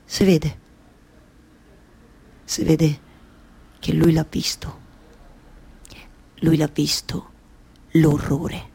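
A woman's voice: on the low side, a little mellow, pitched below the performer's own voice. A woman with a Roman Italian accent playing a weary, yearning wife who weaves stories, in an incantatory theatrical monologue. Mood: sorrowful wonder. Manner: incantatory repetition, shifting from murmur to insistence. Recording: live music behind the voice.

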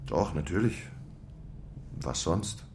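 A measured security official. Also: male voice, sits low, animated. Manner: measured, formal, lecturing. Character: cool, measured German security official, adult man. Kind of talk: radio play acting.

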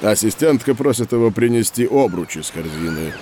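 Man as a patient, animated researcher. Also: lowish voice, moderate pace, slight background noise, natural voice. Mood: explanatory. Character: patient male dolphin researcher explaining experiments to children. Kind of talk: scripted children's educational audio drama.

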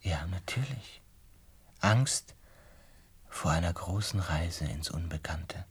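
Sad gentle butterfly guy in a radio drama, low husky voice, really dry close sound, thoughtful. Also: lively intonation, takes it slowly, no room sound, full character voice. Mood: soft, intimate. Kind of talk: radio drama acting and first-person narration.

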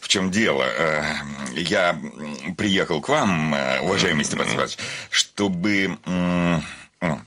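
Jittery thirties suitor: deep, tense voice, stammering politeness, theatrical radio comedy. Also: male voice, a little slow, bright, forceful tone, heavily acted. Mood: nervous, deferential. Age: 30s. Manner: stammering, hesitant, over-polite. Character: nervous, fretful thirty-five-year-old bachelor suitor, hypochondriac. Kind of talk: theatrical radio play acting.

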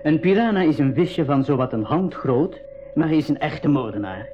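Radio play casting: a man as thirties explorer-biologist, fairly high male voice, polite and explanatory. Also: animated, moderate pace, over music, natural voice. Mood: grave, vivid. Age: 30s. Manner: polite, explanatory, slightly hesitant. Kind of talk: 1980s school radio drama acting. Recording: dull recording with clear background noise.

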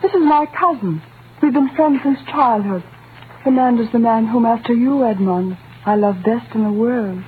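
A woman's voice: on the high side, normal speed, phone-quality sound, close to an everyday voice. A woman playing a tender, devoted young sweetheart in melodramatic 1930s radio style. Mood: placating, tender. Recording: thin noisy vintage radio recording.

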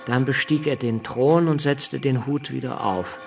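Gravelly, dryly ironic revolutionary deputy. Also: male voice, medium pitch, a little slow, slight background noise. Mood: dry irony. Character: earnest revolutionary deputy writing letters home, dryly scornful. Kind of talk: radio drama letter reading.

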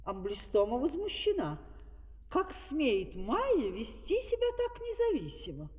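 A woman's voice: sits high, huge pitch swings, a little slow, a little mellow. A woman narrating a fairy-tale opera in a scripted, dramatic storyteller's style. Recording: echoey hall, distant, old vinyl record.

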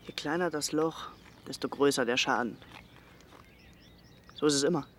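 A man plays a stubborn young villager in a radio drama. His voice is a little high, his delivery blunt and down-to-earth, with some background noise. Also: moderate pace, lightly acted. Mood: busy, wry. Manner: blunt, matter-of-fact. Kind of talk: naturalistic German radio drama acting.

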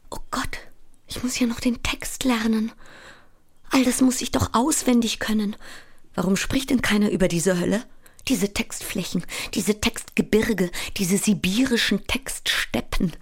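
A woman's voice: on the high side, creaky. A woman playing a sleepless, frantic stage actress on the verge of breakdown, in an overwrought theatrical monologue. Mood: anxious muttering. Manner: overwrought, dramatic, deliberate delivery. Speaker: actress in a solo stage play. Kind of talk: theatrical monologue, shifting between declaimed recitation and muttering. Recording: loud music under the voice. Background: loud music.

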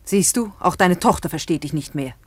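An actress in a German radio play as a stern, reproachful Roman empress and wife, theatrical and scolding. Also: female voice, on the low side, expressive, fairly quick, breathy edge, no echo. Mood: urgent, scolding.

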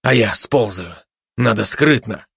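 A terse, battle-worn army dog voiced by a male narrator in an animal story. He speaks in a low-key, clipped military manner, recorded dry and close. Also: expressive, unhurried, gravelly, fairly bright tone, breathy edge, no room sound, exaggerated voice. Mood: terse commanding. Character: gruff battered special-forces dog, terse military leader.

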